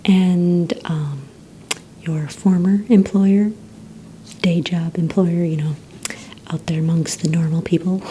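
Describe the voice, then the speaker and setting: Casting: woman as courteous supervillain-agency receptionist for ASMR roleplay; low, mellow, breathy near-whisper, gentle and organized. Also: steady tone, slow, pauses often, slight background noise. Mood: gentle, businesslike. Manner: gentle, hesitant, courteous interviewer. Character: polite, organized female receptionist at a supervillain recruitment center. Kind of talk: soft-spoken ASMR roleplay.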